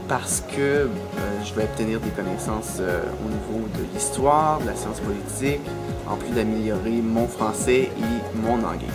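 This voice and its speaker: A young man, his voice sitting at an ordinary middle pitch. He talks about himself in an interview, unscripted and hesitant, often stopping on filler sounds. Music plays clearly underneath him. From Quebec. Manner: hesitant, frequent filler pauses. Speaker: student and TV news director. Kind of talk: personal testimonial interview.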